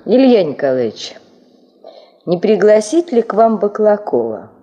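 A middle-aged office secretary in a radio drama. Her voice is soft and mellow, with lively rises and falls. She is wry and teasing, and draws her words out with easy familiarity. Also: mid-range voice, a little slow, lo-fi sound. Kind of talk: radio play acting.

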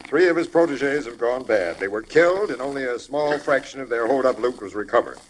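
An actor playing a gruff, sardonic homicide captain with a dry, businesslike manner in a 1940s radio crime drama. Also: male voice, medium pitch, expressive, brisk, close to an everyday voice. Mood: businesslike, concerned. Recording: noisy old-time radio recording.

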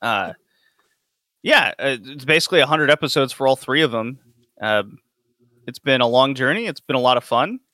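A man hosting a casual podcast chat, rambling quickly and laughing often. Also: medium pitch, animated, sharp tone, dry recording, clean studio sound. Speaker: podcast host.

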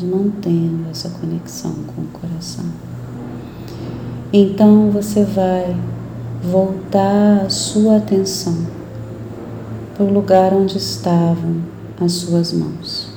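A Brazilian woman leading a guided meditation in a soothing, gentle, unhurried manner with long pauses. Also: on the low side, background noise.

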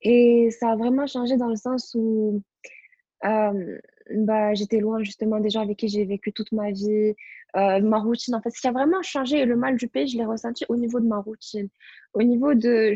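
A woman's voice: a little high, steady tone, moderate pace, dark tone, husky, no room sound, dull audio. A young woman from Morocco chatting casually and personally as a student on a podcast panel. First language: French. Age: young adult.

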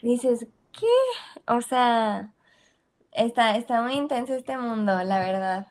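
A young Mexican woman with a Mexican accent, speaking in a voice a little higher than most. She chats freely and slangily as a podcast guest and laughs easily. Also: fairly even intonation, slow. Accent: Mexican Spanish. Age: young adult. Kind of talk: casual chat.

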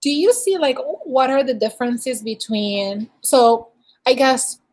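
A woman's voice: high-pitched, animated, measured pace, a little mellow. A woman hosting an interview, asking long, rambling questions with many fillers. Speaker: podcast host.

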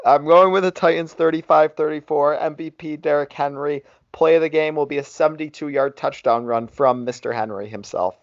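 A man's voice: fairly high pitch, fairly quick, few pauses, dry recording. A man co-hosting a podcast, chatting casually and making sports predictions. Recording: dry, muffled lo-fi podcast audio.

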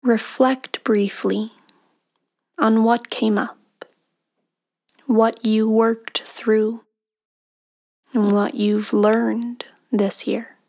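A woman with a fairly high voice guides a meditation at an extremely slow pace. Her delivery is even and soothing, with long pauses between short phrases. The ends of her phrases soften into breath. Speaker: meditation guide, podcast host.

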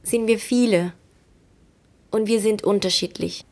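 A woman reciting scripted lines in an audio play, brisk and declarative. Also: medium pitch, a little mellow, slight background noise.

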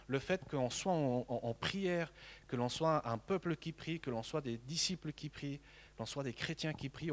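Earnest halting preacher in a reverberant hall. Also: male voice, mid-range voice. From Mexico. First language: Spanish. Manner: earnest, halting with frequent repeated words. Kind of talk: sermon.

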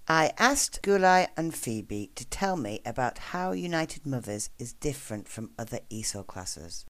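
A woman's voice: rumbling low, big pitch swings, a little slow. A woman presenting a podcast in scripted narration, as a host and teacher.

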